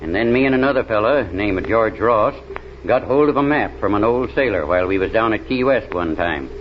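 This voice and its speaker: An actor playing a plain-spoken backwoods adventurer in an old radio serial, with a drawl and folksy, ungrammatical speech. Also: male voice, fairly low pitch, expressive, brisk, lightly acted. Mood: recounting adventures. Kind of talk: 1930s radio serial acting. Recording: dull, noisy old radio recording.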